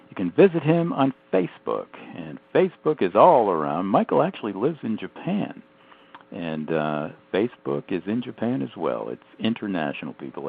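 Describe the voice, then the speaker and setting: A man hosting a radio talk show, introducing his guest in an emphatic, animated way with frequent fillers. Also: low-pitched, gravelly. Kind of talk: radio interview with lively promotional delivery. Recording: tinny phone-like audio.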